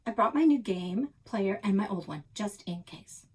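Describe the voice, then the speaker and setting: A woman's voice: medium pitch, animated, moderate pace, a little mellow, husky. A woman voicing a smug, grumbling young boy who is bossy and competitive, in a children's book read-aloud. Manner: grumbling, snorting, boastful.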